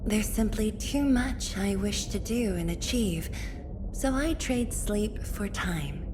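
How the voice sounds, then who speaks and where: A woman playing a gentle, studious young scientist in a video game, speaking in a courteous, poetic and softly earnest way. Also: medium pitch, lively intonation, noisy background. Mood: composed. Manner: measured, softly earnest, formally polite. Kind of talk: scripted video game character voice lines.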